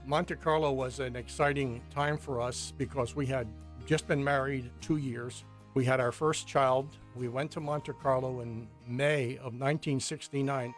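A man's voice: mid-range voice, fairly even intonation, unhurried. An older man from the United States, a native Hungarian speaker, recounting his personal story as a missionary in an interview. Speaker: missionary giving testimony. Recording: radio interview with music underneath. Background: music.

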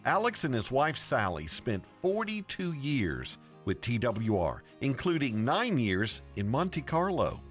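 A man's voice: medium pitch, wildly swooping pitch, measured pace, hardly any pauses. A man hosting a radio program with scripted narration and short responses to his guest. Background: music.

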